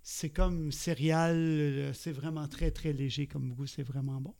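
A man's voice: a little high, steady tone, unhurried, gravelly, warm tone. A man in his forties with a Québécois French accent, chatting casually as a podcast co-host. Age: 40s. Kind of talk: casual chat about craft beer.

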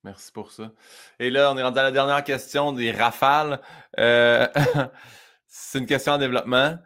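Québécois man, podcast host, mid-pitched, quick questions, laughs a lot. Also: fairly even intonation, bright, forceful tone. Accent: Québécois French. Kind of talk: casual podcast interview.

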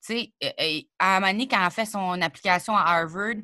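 A young Acadian woman talks in a relaxed, chatty way, jumping into quick asides. Her voice is bright and forward. It sounds dry and close, with almost no room echo. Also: on the low side. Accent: Acadian French. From New Brunswick, Canada. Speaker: comedian and rapper, guest. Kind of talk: casual interview chat.

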